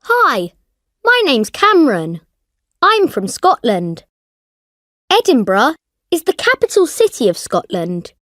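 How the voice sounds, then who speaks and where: A woman's voice: high voice, wildly swooping pitch, takes it slowly, pauses often, fairly bright tone, dry recording, clean studio sound, heavily acted. A woman voicing a friendly child from Scotland in a scripted language course, careful and informative. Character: friendly young Scottish child introducing a city.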